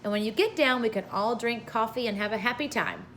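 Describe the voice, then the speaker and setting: A woman voicing a cheeky, high-pitched storybook girl. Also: brisk, fairly bright tone, slight background noise, lightly acted. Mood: cheerful. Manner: exclaiming, teasing, breezy. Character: cheeky, fearless, playful young girl, carefree and cheerful. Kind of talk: children's storybook read-aloud.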